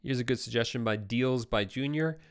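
A young man hosting a casual live stream, reading viewer questions aloud and answering them, his voice tired and going hoarse, on a close studio microphone. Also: medium pitch, a little fast. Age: young adult. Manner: relaxed, conversational, reads viewer comments aloud. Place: home studio with brick wall. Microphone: boom-arm studio microphone close up.

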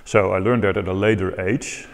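A man in his forties from the Netherlands with a Dutch accent, calmly explaining how to learn organ improvisation, seated in a large church and filmed from a distance. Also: deep voice, vocal fry. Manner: measured, thoughtful, gestures with hands while explaining. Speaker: organ teacher. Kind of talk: calm explanatory talk to camera. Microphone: far camera, seated at a table. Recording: long church reverb.

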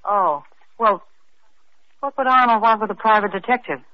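An actress playing a brash, flippant young wealthy widow being questioned, in 1940s radio acting style. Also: female voice, mid-range voice, moderate pace, lightly acted. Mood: defensive. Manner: flippant, sharp-tongued, mocking. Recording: muffled old radio recording.

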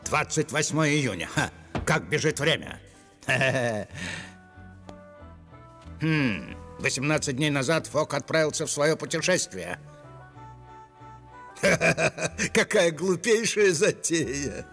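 A haughty gentleman wolf, a cartoon villain who schemes and gloats. His voice sits a little high and swings widely, pompous and sneering in every phrase. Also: lively intonation, slow pace, long pauses, soft background music, full character voice. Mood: smug gloating. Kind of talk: cartoon villain dub acting.

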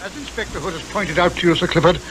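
An actor playing an imperious psychiatrist-detective with an upper-class British accent, precise and pompous, in 1950s radio drama style. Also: male voice, sits high, fast, a bit bright. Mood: probing. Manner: precise, pompous, clipped formal phrasing. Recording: noisy 1950s radio recording.

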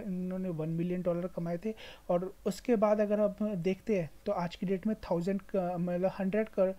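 An Indian woman hosts an informal screen-share explainer in a mellow, relaxed voice that sits a little low. She advises emphatically, repeating phrases to drive points home, and mixes English words freely into her speech. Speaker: stock market video host.

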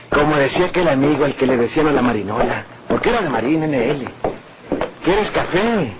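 An actor playing a nervous, scheming northern Mexican outlaw who grumbles to himself in colloquial exclamations in an old radio drama. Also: male voice, medium pitch, animated, measured pace, lightly acted. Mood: relaxed, musing. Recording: muffled, very noisy old radio recording.

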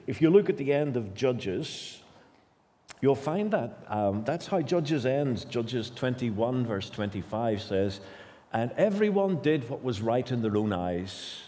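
A man's voice: medium pitch, big pitch swings, slow pace, mellow. A man in his fifties with a Northern Irish accent, preaching an emphatic sermon at a lectern in a church hall. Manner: emphatic, repetitive preaching with sudden bursts of speed. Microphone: stand mics at lectern, far camera.

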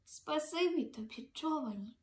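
A woman's voice: high-pitched, expressive, unhurried, roomy sound. A young woman voicing an earnest little male snail from a storybook, speaking emphatically. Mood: grateful.

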